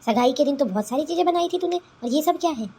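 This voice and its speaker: Woman as a shrill-pitched nagging mother-in-law. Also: expressive, fast talker, dark tone. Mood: disgusted. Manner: nagging, reproachful. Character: scolding, disdainful village mother-in-law, middle-aged. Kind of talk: Hindi cartoon family comedy dubbing.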